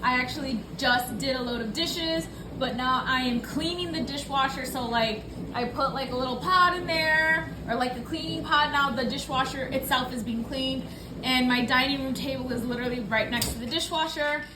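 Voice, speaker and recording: A woman in her early thirties with a loud voice, chatting in a rambling, apologetic way in her small apartment. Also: high-pitched, moderate pace. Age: early 30s. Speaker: budgeting YouTuber. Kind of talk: casual chat. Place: small apartment, dishwasher running. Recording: echoey room with appliance noise. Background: dishwasher running.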